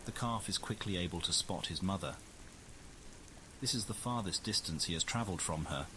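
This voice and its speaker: A man playing a scientist who narrates a wildlife field study in measured, formal scripted documentary style. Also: low-pitched, animated, noisy background. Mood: measured, informative. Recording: loud music under the voice.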